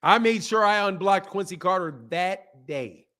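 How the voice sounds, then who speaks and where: A man in his fifties talks on a live boxing stream with a fairly high voice for a man. He answers viewers loosely and hammers his points home by saying them again and again, breaking into laughs along the way. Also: measured pace. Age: 50s. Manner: animated, talks back to chat, repeats himself for emphasis, laughs often. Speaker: boxing channel host. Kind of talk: casual live-stream chat reading comments.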